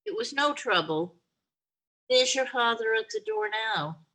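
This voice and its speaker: A woman's voice: a little high, lively intonation, a little slow, bright, forceful tone, poor audio. A woman playing a tender, grieving mother. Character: grieving mother of a poor family. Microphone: video call.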